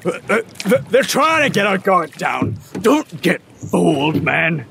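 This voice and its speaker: A man playing an eager, hot-headed pirate crewman in an audio drama, excitable and theatrical. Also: sits high, measured pace, creaky, background noise, full character voice. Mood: suspicious, eager.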